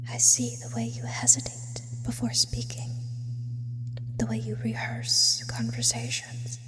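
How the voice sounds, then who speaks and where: A lonely sleep paralysis demon, acted by a woman, speaks in slow whispers drenched in long reverb. The delivery is intimate and deliberate, like a brooding confession to one listener in an ASMR roleplay, tender beneath the gloom. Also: medium pitch. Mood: probing, intimate.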